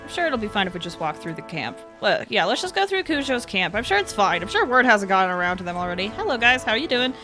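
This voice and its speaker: A woman's voice: medium pitch, sing-song, fast talker, hardly any pauses, music in the background. A woman giving casual live commentary as a video game streamer, playful and chatty.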